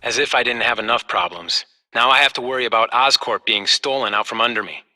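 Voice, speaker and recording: An actor playing a distrustful young company heir in a bitter, suspicious video game monologue. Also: male voice, medium pitch, fairly quick, sharp tone, rough recording. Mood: bitter, wary. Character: embattled, distrustful young heir running a corporation.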